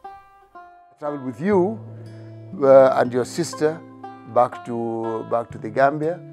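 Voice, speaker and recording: Middle-aged man, gravelly, reflective and hesitant, stumbles over words. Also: medium pitch, slow, darkish tone, faint music behind. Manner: hesitant, many repeats and restarts. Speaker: parent interviewed about family. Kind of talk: reflective interview talk.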